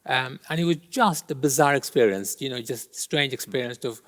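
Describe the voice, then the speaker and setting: A man's voice: mid-range voice, fairly bright tone. Hesitant man in a reverberant church. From Kenya. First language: Gujarati. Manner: thoughtful, with many ums and pauses. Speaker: interview guest. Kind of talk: interview answers. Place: large church. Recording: long reverb of a large church hall.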